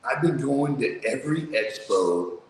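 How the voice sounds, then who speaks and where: A man with a low voice telling his story in a casual, streetwise, blunt way, swearing freely, as an interview guest. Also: expressive, measured pace, heavy reverb, in a large hall, a little hiss or hum, poor audio. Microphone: remote video call.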